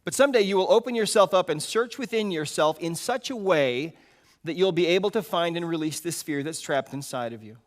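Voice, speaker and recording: A man reading the part of God in a story as a gentle, consoling, patient father, measured and reassuring. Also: on the high side, expressive, lightly acted.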